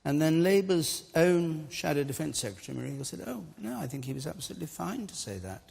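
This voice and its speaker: A man moderating a televised debate, brisk and directing speakers in turn. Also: on the high side, sing-song, vocal fry, darkish tone, husky. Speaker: debate show host. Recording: studio hall with audience.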